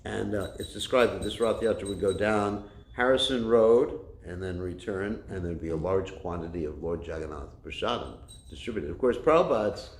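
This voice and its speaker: A man with a loud, somewhat low voice gives a religious class, wandering through a story with frequent fillers and false starts. There is no microphone on him, so the sound comes off the walls of an echoey room. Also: expressive, moderate pace. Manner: rambling, many fillers and restarts. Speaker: spiritual teacher. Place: class room with a kitchen nearby. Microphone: no microphone, room recording.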